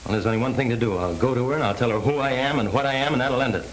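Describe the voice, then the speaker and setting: A male actor plays a young American man framed and sent to prison, desperate yet noble. He speaks in the manner of a 1930s crime film. The old soundtrack lays light noise under his average-pitched voice. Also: rapid-fire, natural voice, poor audio. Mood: resigned, bitter. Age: young adult. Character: wronged young ex-convict, desperate, determined, noble.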